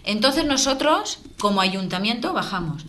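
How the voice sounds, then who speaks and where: A woman town councillor with a Valencian accent defends her case in a local TV debate, earnest and indignant. Her voice sits at a middling pitch for a woman. A faint hiss lies under it. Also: sing-song, moderate pace, bright, forceful tone. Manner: earnest, indignant, keeps explaining.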